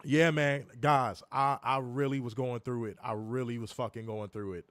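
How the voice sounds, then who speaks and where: Hoarse, profane live-stream host. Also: male voice, mid-range voice, steady tone, normal speed, a bit bright, no echo, clean studio sound. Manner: profane, joking, talks straight to viewers. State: hoarse, recovering from illness. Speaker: co-host of a wrestling review stream. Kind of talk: casual live-stream chat. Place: home, in Chicago.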